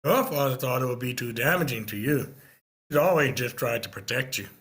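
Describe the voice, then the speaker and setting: A man playing a gentle, probing therapist in his fifties at a screenplay table read, measured and questioning. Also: mid-range voice, creaky, husky. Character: probing, gentle male therapist of fifty-six.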